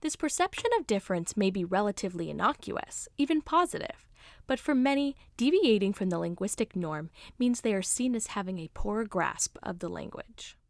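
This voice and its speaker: A woman reading a podcast's scripted narration in an expressive way. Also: medium pitch, normal speed. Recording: narration over music.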